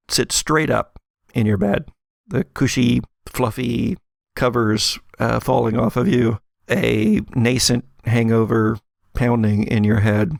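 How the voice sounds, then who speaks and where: A man narrating a tabletop roleplaying game as game master in a halting, conversational, chuckle-prone way. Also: medium pitch, gravelly, no echo, clean studio sound.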